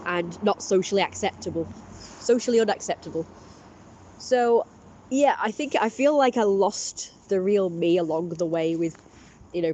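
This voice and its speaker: A woman vlogging casually with a blocked-up, congested voice. She talks in a halting, rambling way, full of restarts and fillers. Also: fairly low pitch, a little mellow, a little hiss or hum. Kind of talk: casual personal vlog talk.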